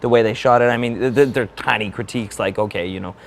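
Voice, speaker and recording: A man's voice: lowish voice, fast, gravelly. A man judging on a design panel, enthusiastic and stumbling over restarts as he critiques. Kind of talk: excited critique.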